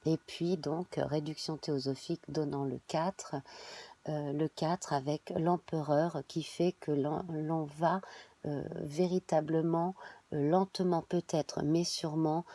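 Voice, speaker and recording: A woman's voice: low-pitched, fairly even intonation, unhurried, mellow. Woman with a cold reading tarot. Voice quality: hesitant, many fillers and restarts. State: has a cold. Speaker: tarot reader.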